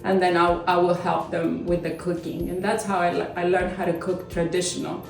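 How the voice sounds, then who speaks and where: Woman chef, lowish voice. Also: little pitch movement, moderate pace, vocal fry. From Crete, Greece. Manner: explains steps plainly, small slips in grammar. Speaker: chef and company founder. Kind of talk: cooking demonstration. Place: home kitchen. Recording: echoey kitchen, far from mic, faint music.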